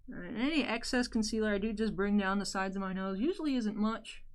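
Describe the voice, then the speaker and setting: A young woman with a deeper voice, chatting candidly while doing her makeup for a vlog. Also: a little fast. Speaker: YouTuber. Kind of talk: casual makeup tutorial chat.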